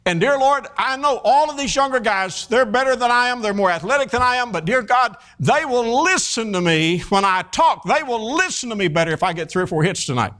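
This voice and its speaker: A man in his fifties preaching a sermon, folksy and animated, telling stories with many fillers and restarts. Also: very high voice, fairly quick, hardly any pauses.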